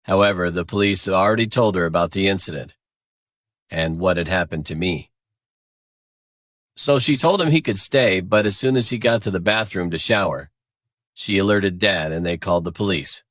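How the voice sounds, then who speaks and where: A man's voice: sits low, normal speed, fairly bright tone, no room sound, studio quality. A man narrating a scripted first-person crime story.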